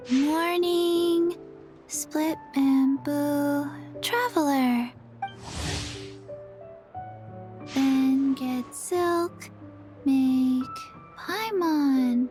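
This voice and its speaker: Very slow, deadpan zombie girl. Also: sits high, faint music behind. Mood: drowsy, singsong. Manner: halting, deadpan, drowsy. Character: small forgetful zombie girl, placid and childlike. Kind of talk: video game character dialogue.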